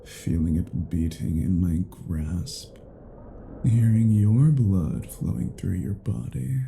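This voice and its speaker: Man playing a dark, slow, gravelly cruel vampire. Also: sits low, animated, slight background noise, full character voice. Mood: menacing, disdainful. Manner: slow, menacing, condescending, formal archaic phrasing. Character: cruel, domineering male vampire toying with captive werewolf. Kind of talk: scripted roleplay audio drama monologue.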